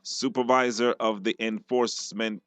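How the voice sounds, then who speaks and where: Casting: male Belizean radio host, lower voice, casual and halting delivery, dry studio sound. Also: steady tone, dry recording. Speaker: radio call-in show host. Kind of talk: casual live studio hosting.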